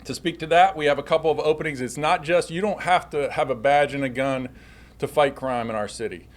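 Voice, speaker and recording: A man's voice: fairly high pitch, brisk. A man speaking as a police chief at a public briefing with slides, often repeating and correcting himself as he goes.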